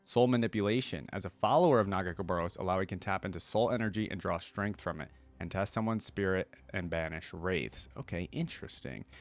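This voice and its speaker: A man's voice: low-pitched, a little fast, few pauses, soft background music. A man hosting a reaction video, casually thinking aloud and reading bits of text out with conversational commentary.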